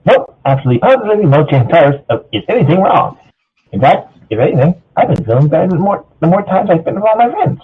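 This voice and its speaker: A man's voice: on the high side, big pitch swings, quick pace, breathy edge, pitched above the performer's own voice, full character voice. Man doing a chirpy young pony mare, tinny line. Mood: cheerful. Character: cheerful, chatty, random young female cartoon pony. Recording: thin phone-like voice chat.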